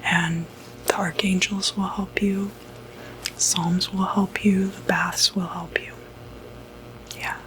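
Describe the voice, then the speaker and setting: A woman playing a gentle, reassuring doctor in an ASMR medical roleplay, speaking in a breathy, soothing whisper. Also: mid-range voice, little pitch movement, slight background noise, poor audio. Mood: quietly encouraging. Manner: soothing, unhurried, hesitant phrasing. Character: gentle, reassuring doctor who treats demonic possession.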